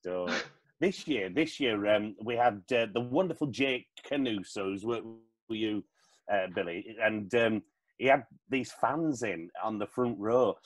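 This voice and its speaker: A man's voice: on the low side, measured pace. A man, talking as a podcast guest in a casual, agreeable, chatty way. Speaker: podcast guest, pantomime performer. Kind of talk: casual chat.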